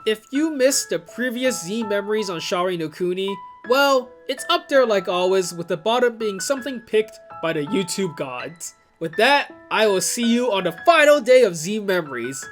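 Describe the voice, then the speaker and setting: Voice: medium pitch, sing-song, normal speed, hardly any pauses, faint music behind. A video creator giving scripted commentary in an informal, wry way. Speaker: video creator reviewing visual novels.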